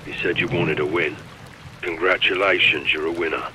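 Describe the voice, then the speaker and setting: An actor playing a stern special forces lieutenant in a video game, terse and deadpan with dry humour. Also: male voice, low voice, normal speed, slight background noise, rough recording. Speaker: military officer character. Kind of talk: video game radio dialogue.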